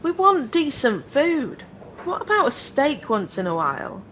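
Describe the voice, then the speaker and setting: A woman's voice: high-pitched, very animated, a little slow, a bit bright, slight background noise, full character voice. A woman playing a cynical, foul-mouthed hotel worker in an audio drama, blunt and sarcastic. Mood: indignant.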